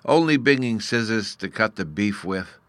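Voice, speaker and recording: A man's voice: on the low side, lively intonation, moderate pace, dry recording, natural voice. A man voicing a tiny, earnest and proud boy fairy from a storybook, scornful at times, in childish baby talk with mispronounced words. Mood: grave, proud. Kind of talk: storybook character reading.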